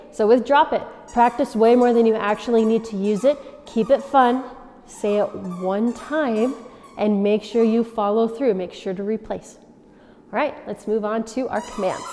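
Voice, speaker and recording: A woman dog trainer calmly explaining and demonstrating commands step by step. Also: a little high, slight background noise. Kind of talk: instructional demonstration. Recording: long reverb from a large room.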